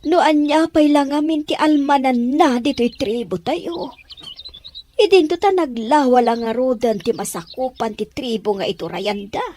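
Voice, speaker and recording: A woman's voice: sits high, moderate pace, warm tone, a little hiss or hum, close to an everyday voice. A woman with a Filipino accent acting a caring village woman in an audio drama. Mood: indignant. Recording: voice over music with birdsong.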